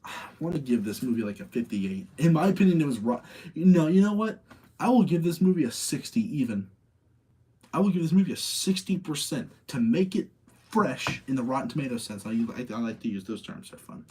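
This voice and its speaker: A man with a mellow, dark-toned voice of average pitch hosts a casual stream. He sounds tired, as if up late. He rambles through his opinions, often repeating himself while checking in with his audience. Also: breathy edge, rough recording. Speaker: streamer hosting a movie rating session.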